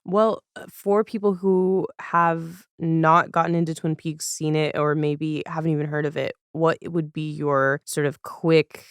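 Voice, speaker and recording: A woman's voice: sits low, steady tone, a little slow, hardly any pauses, clean tone, no echo, clean studio sound. A woman hosting a podcast in a casual, conversational style. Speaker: podcast host. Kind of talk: casual chat.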